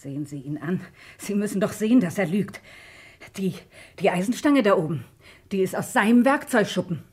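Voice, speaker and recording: An actress playing a bitter, accusing farm woman in a German radio crime drama, husky and sharp-tongued. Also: medium pitch, animated, normal speed. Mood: angry, accusing. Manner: sharp-tongued, insistent, repeats herself.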